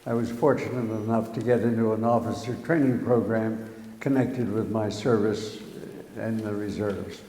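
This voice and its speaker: A man's voice: fairly low pitch, fairly even intonation, normal speed, dark tone, reverberant, long reverb tail, poor audio. An older man, a veteran, recounting in a formal, composed way how he joined the service. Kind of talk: recorded interview reflection.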